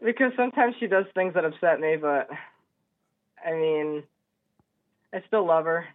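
An eighteen-year-old man from upstate New York calling a radio show on a phone line, debating casually in a hesitant, halting way. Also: fairly high pitch, lively intonation. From upstate New York, United States. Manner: hesitant, halting, stammering restarts. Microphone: telephone. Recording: narrow, tinny phone line.

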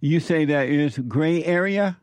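A man hosts a call-in debate in a dry, close-sounding studio voice that sits a little high. He is blunt and challenging, firing short questions and repeating them. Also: a little slow, no echo. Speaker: radio call-in show host.

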